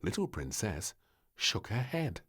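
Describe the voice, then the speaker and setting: A male storybook narrator reading for children. He takes his time, telling the story dramatically with huge swings of pitch. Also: big pitch swings, a little slow, a bit bright, breathy edge.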